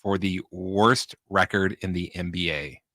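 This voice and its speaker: A man's voice: sits low, a little slow, sharp tone, no echo, clean studio sound. An American man co-hosting a sports talk show, casually reading out stats and chatting. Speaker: sports radio co-host.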